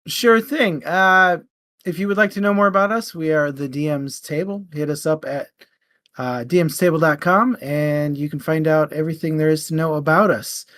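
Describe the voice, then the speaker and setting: A man with a slightly high voice hosts a live discussion show about books. He sounds informal and chatty as he explains things to the listeners. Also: moderate pace, a bit bright. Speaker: co-host of a book discussion show. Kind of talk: casual live show hosting.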